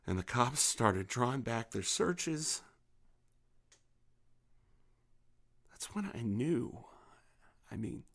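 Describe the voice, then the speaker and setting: A man acting in an audio drama as a menacing, grieving middle-aged father protecting his daughter, speaking with halting intensity. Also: medium pitch, vocal fry, slightly rough, exaggerated voice. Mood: grief-stricken. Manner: intense, halting, with repeated words.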